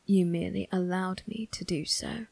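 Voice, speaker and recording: A woman playing a cold, menacing young male vampire crime boss in an ASMR roleplay, speaking in a breathy, husky half-whisper with a measured, condescending manner. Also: fairly low pitch, fairly even intonation, darkish tone, dry recording. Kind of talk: ASMR character roleplay addressed to the listener.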